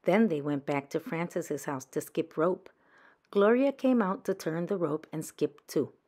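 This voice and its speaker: A woman's voice: deep voice, unhurried, mellow. A woman reading a children's picture book aloud as a storyteller.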